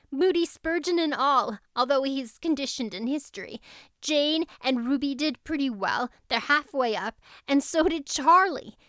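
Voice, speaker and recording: Woman voicing an excitable, high-pitched teenage girl. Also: dry recording, studio quality. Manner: gushing, exclamatory. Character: excitable, breathless teenage girl, gushing best friend.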